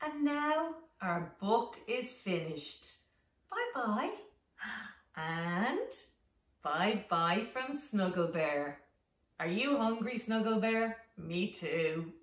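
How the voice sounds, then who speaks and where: Very slow, animated woman reading to children. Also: medium pitch. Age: middle-aged. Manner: very slow, simple, deliberate phrasing with expressive emphasis. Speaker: home teacher reading a picture book to young children. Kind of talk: children's storytime read-aloud with signing. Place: small living room at home, indoors. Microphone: camera a few metres away. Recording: roomy echo, distant microphone.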